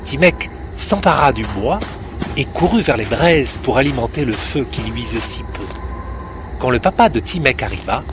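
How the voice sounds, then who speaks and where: A man narrating a children's story in a gentle, expressive way. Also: mid-range voice, moderate pace, music in the background.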